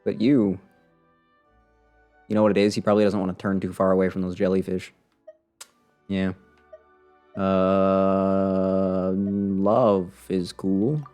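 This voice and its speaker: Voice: deep voice, level tone, a little fast, long pauses, mellow, soft background music. A game streamer giving casual live commentary in a playful, dryly sarcastic way.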